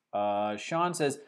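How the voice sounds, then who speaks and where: A man's voice: mid-range voice, creaky, clean tone. A man from Arkansas with a mostly neutral Midwestern-sounding voice, hosting a livestream show, rambling and sarcastic as he reads chat comments. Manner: rambling, sarcastic, trails off and restarts. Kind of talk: casual football talk, reading chat comments.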